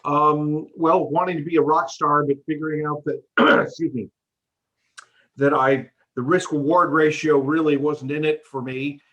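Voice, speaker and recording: A middle-aged American man answering questions about his career in a relaxed, plain-spoken way on a video call. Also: on the high side, measured pace, poor audio. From United States. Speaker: guest.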